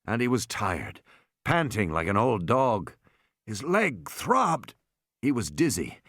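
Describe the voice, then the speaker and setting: A man narrating a suspense novel as an audiobook, in an expressive, dramatic delivery. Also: fairly low pitch, takes it slowly, no echo, clean studio sound. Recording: added music and sound effects underneath. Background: music and sound effects.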